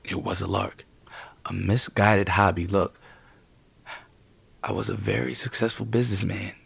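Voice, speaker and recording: Low, husky man, a frustrated writer. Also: unhurried, a bit bright, dry recording, full character voice. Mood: dejected, defensive. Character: frustrated, self-deprecating former businessman turned aspiring writer. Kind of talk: naturalistic audio fiction acting.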